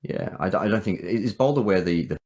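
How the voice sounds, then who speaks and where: Australian man, extremely low and husky, thinking aloud in relaxed developer talk. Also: fast. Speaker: visual novel writer and developer. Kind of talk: casual development chat.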